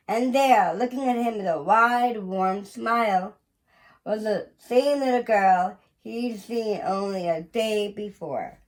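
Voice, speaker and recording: A woman reading a children's picture book aloud in an expressive, deliberate storytelling manner with pauses. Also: mid-range voice, poor audio. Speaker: museum educator reading aloud.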